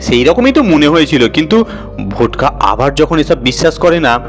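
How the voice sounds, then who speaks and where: A man's voice: on the high side, sing-song, normal speed, faint music behind. A man voicing a boastful, good-natured uncle who tells his ghostly tale colloquially and teasingly in an audio story. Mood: teasing, confiding.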